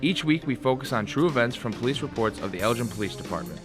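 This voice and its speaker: A man's voice: mid-range voice, expressive, fast talker. A man reading scripted dramatic narration as the narrator of a radio play. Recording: music behind the voice.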